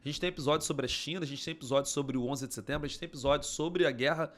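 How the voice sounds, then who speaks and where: Brazilian podcast co-host explaining the news. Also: male voice, a little high, very fast. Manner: explanatory, conversational. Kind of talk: casual news-discussion chat.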